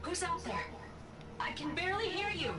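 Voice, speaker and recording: A woman's voice: extremely high pitch, big pitch swings, normal speed, heavy reverb, distant mic, loud music behind the voice. A woman voicing a chatty, affectionate female teleporter door guardian in a video game, speaking in a coaxing, playful way.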